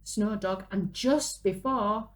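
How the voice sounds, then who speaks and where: A woman, reading a picture book aloud to young children in an animated, dramatic way. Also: medium pitch. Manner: animated, dramatic gasps and drawn-out exclamations. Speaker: children's storyteller, early years presenter. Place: small room at home, indoors.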